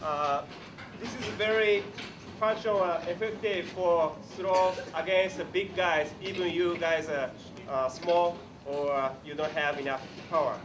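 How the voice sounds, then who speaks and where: A man announcing and interpreting at a judo clinic, speaking halting English with many fillers, recorded by a far camera in a large indoor dojo. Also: fairly high pitch, steady tone. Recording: distant voice in noisy room.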